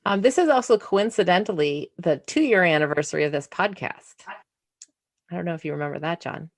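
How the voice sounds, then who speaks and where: A woman's voice: on the low side, animated, fairly quick, dry recording, clean studio sound. A woman co-hosting a podcast, asking a guest questions in a casual, friendly way with small hesitations. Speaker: podcast co-host. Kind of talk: casual interview chat.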